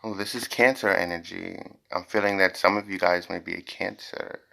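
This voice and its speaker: A man's voice: low voice, steady tone, normal speed, gravelly, bright, forceful tone, poor audio. A man giving an informal tarot reading to viewers, speaking bluntly and repeating phrases for emphasis. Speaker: tarot reader, host.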